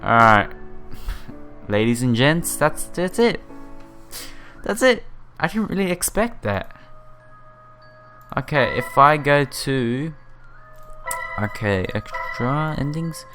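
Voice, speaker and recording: A man's voice: on the high side, very animated, slow, long pauses, bright, forceful tone. A man hosting a visual novel playthrough, reading and commenting casually, sometimes stumbling over his words. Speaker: gaming video host. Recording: music behind the voice. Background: music.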